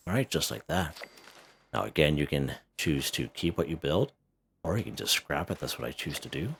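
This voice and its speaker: A man hosting a casual game walkthrough, chatty and a little hoarse, who keeps checking in with "okay?" and "right?". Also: extremely low pitch, animated, moderate pace, slight background noise. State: slightly hoarse. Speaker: gaming video host.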